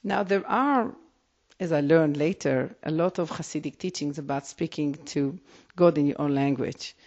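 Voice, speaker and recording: A woman's voice: low voice, moderate pace, a little mellow. Reflective Israeli woman rabbi, lo-fi. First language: Hebrew. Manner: reflective, with frequent restarts. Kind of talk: interview answers. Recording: dull lo-fi recording.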